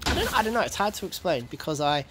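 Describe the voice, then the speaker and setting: Aussie guy chatting on a podcast, lively, trips over his words, bit of hiss behind. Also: fairly high pitch, quick pace. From Australia. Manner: animated, stumbling restarts, mixes in Indonesian. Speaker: podcast guest. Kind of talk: casual interview chat.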